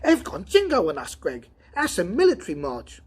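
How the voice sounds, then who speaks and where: A man voicing an excitable, griping male robot tester in a storybook reading, loud and indignant. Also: high voice, normal speed, breathy edge, voice pushed up, exaggerated voice. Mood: alarmed.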